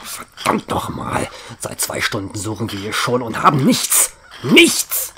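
An actor as a gruff, commanding male sheriff in a horror radio play. He is brusque and very animated in pitch, over a clearly noisy background. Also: measured pace. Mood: frustrated. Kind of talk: German horror audio drama acting.

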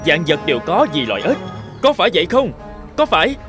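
Lively, declaiming cartoon animal orator. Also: male voice, sits high, fast talker. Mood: preachy. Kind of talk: cartoon voice acting. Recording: music bed behind the voice.

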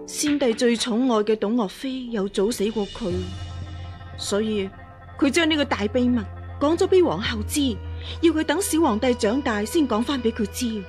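An actress playing a scheming impostor empress dowager in a radio drama, deferential, hesitant and pleading. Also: female voice, a little high, moderate pace, a little mellow, soft background music, natural voice. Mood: nervous, deferential. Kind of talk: Cantonese radio drama acting.

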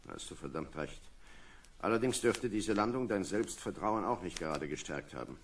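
An actor playing a gruff, weary space lieutenant in a vintage science fiction radio play, commanding and dryly grumbling. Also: male voice, low voice, animated, normal speed, slight background noise. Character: gruff, weary male lieutenant leading an asteroid survey. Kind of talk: vintage German sci-fi radio play acting.

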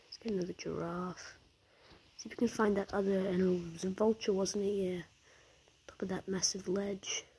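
A woman with a croaky voice, chatting in half-whispers while commenting on a mobile game she plays. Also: mid-range voice, fairly even intonation, normal speed, warm tone, rough recording. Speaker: gameplay commentator.

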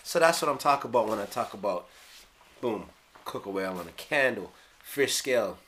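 Bright-voiced Jamaican man rapping about his lyrics. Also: fairly low pitch, lively intonation, fairly quick. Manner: playful, slangy, drops into hushed asides. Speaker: rapper explaining his lyrics. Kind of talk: casual solo video commentary. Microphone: studio mic on stand.

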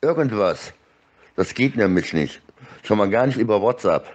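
A middle-aged man rambling casually in halting, fragmented sentences, repeating words, recorded on a phone held close to his face. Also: fairly low pitch, animated, a little mellow, muffled recording.

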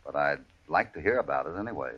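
An actor playing a calm, courteous cowboy hero with an American accent and a measured, folksy manner in an old radio western. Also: male voice, a bass voice, breathy edge, heavily acted. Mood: curious, polite. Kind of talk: 1940s-50s radio western acting. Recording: dull vintage radio recording.

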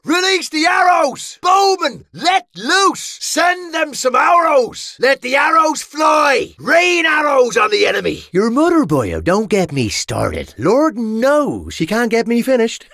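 A man with an Irish accent voicing a cheeky, rough mercenary in a medieval battle video game, slangy, brash and theatrical. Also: very high voice, very animated, takes it slowly, bright, forceful tone, no echo, raised voice, studio quality, heavily acted. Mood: mocking.

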